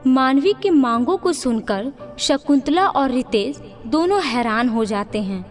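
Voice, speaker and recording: A woman narrates a cartoon moral story from a script. Her voice is very high and her delivery is slow, with background music running beneath. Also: lively intonation.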